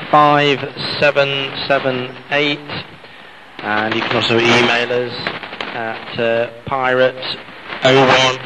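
A man presenting live on pirate radio in a casual, hesitant, off-the-cuff way, heard over shortwave from outdoors. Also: medium pitch. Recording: muffled noisy shortwave radio, distant.

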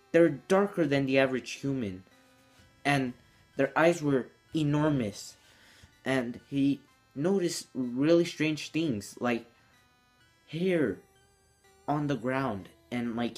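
A teenage young man telling a story casually to camera in a small room indoors, as a vlogger. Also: mid-range voice.

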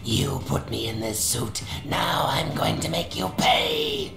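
An actor playing a bitter, vengeful armored supervillain in a video game, growling with slow menace. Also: male voice, a little high, huge pitch swings, heavily acted. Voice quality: gravelly, growling. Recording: echoing, under loud music.

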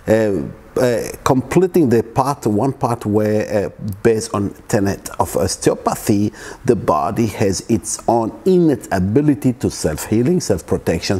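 Middle-aged male presenter from East Africa, a bit low and husky, stumbling lecture into a lapel mic. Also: mellow. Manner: halting, many restarts and fillers. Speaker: wellness presenter. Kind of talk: informal lecture. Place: living room at home, indoors.

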